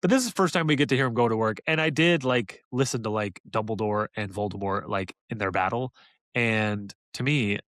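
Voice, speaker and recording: A man's voice: fairly low pitch, brisk, fairly bright tone, no echo. Man chatting on a dry-sounding podcast. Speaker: podcast co-host. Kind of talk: casual review discussion.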